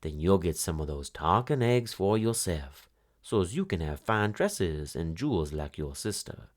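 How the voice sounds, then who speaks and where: A bossy, scheming storybook mother, read by a man. The voice is low and commanding, with folksy country phrasing and lively swings in pitch. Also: measured pace. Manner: folksy rural dialect, commanding.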